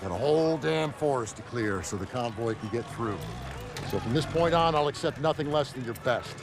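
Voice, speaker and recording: A man's voice: medium pitch, animated, fairly quick, lightly acted. A man playing a stern, scolding officer. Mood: angry, scolding. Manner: scolding, clipped, authoritative. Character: stern male army officer, harsh and demanding.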